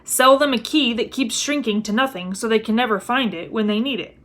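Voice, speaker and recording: Lady doing mild hesitant wizard dad in a storybook, kinda high, sheepish but keen. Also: fairly quick, a bit bright, lightly acted. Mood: weary. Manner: hesitant, good-natured. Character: mild, sheepish, enthusiastic middle-aged wizard father.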